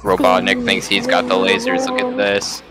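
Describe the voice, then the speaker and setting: A man voicing a deadpan, boastful, nerdy robot in an improvised comedy game fandub. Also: sits low, moderate pace, loud music behind the voice, close to an everyday voice. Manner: flat, deadpan.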